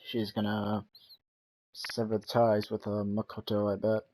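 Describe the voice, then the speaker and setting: A man's voice: fairly low pitch, monotone, unhurried, darkish tone. A man giving casual, offhand commentary as he plays through a video game. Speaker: gamer commenting on gameplay.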